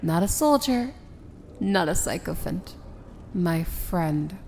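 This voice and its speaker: Casting: female actor as proud, tender villain princess for ASMR fantasy roleplay; unhurried, theatrical, intimate delivery. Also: lowish voice, animated, vocal fry, slight background noise, exaggerated voice. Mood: soft, fond. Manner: theatrical, deliberate, often dropping to a hushed whisper. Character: proud, tender princess of evil, wry and regal.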